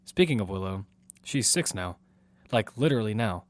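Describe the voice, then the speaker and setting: A man's voice: on the low side, expressive, moderate pace, no echo, close to an everyday voice. A man playing a protective single father in an audio drama, both narrating and speaking in scenes. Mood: reflective narration. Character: Damian, protective single father of a six-year-old girl. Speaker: narrator and lead actor. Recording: loud music under the voice. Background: music.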